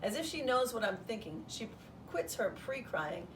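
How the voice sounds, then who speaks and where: A woman reading a children's storybook aloud in the first person as a schoolteacher would, with an expressive delivery. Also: on the high side, normal speed. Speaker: third grade teacher reading aloud. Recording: distant mic, roomy background noise.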